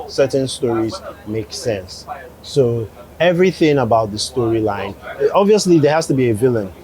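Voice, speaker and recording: A man with a Nigerian accent giving interview answers as a producer, explaining in a measured way and rephrasing as he goes. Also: medium pitch, darkish tone, low-quality recording. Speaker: animation producer.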